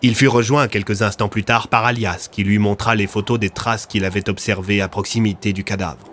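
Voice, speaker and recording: A man's voice: fairly low pitch, steady tone, fairly quick, fairly bright tone, slight background noise. A man narrating a scripted audio fiction as a storyteller. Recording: music under the voice.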